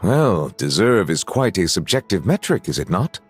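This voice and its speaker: A man's voice: low voice, big pitch swings, moderate pace, soft background music. A man voicing a dignified, courteous dragon judge in a video game, speaking formally and with awkward hesitation. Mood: earnest, measured.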